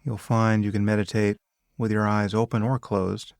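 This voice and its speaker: Male meditation guide, lowish gravelly voice, calm and measured, lots of space between phrases, close dry sound. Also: no room sound. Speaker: meditation teacher. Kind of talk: guided meditation, spoken instruction.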